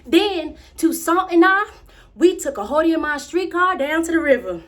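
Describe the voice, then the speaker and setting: Woman playing a proud excitable little american girl telling a story onstage, high voice, swoops all over, super dramatic. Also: moderate pace. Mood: dreamy, thrilled. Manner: dramatic, wide swings from hushed confiding to exclaiming. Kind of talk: theatrical monologue, animated storytelling.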